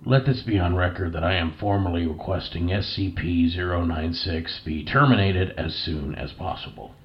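A man's voice: sits low, normal speed. A man playing a formal, composed scientist conducting a debriefing in an audio drama, polite and clinical, on a slightly dull recording. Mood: formal, firm. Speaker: interviewer in an audio play.

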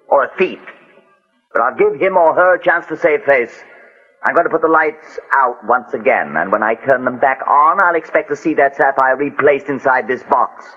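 A wealthy, imperious gentleman host in a 1940s radio drama. His voice is husky and very animated, with formal, theatrical phrasing. The old recording is thin and phone-like. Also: mid-range voice. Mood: angry, commanding.

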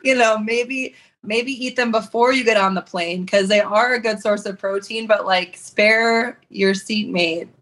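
A woman's voice: mid-range voice, measured pace, few pauses, fairly bright tone, low-quality recording. A woman chatting casually on a talk show. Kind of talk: casual chat.

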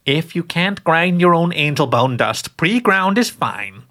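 A man's voice: on the high side, animated, measured pace, bright, forceful tone, voice pushed up. A man voicing a cheerful, sly old witch woman who calls everyone dearie in a gushing manner. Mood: doting.

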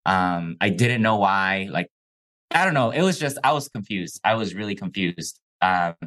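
A young man from Mexico whose first language is Chinese, telling his life story as a podcast guest in a relaxed, slangy way. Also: sits low, moderate pace. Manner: laid-back, says "bro" and "like" often. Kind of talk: casual interview storytelling.

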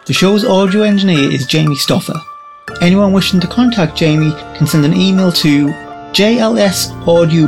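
Guy reading show credits, voice kinda high, guitar music playing under him. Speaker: credits announcer. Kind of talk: scripted credits read. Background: plucked guitar music.

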